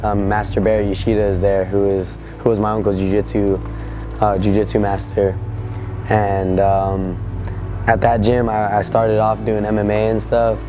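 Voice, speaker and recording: Hoarse man in his 20s, low voice, halting, music underneath. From California. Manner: halting, searching for words. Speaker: boxer. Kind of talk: interview. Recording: with background music.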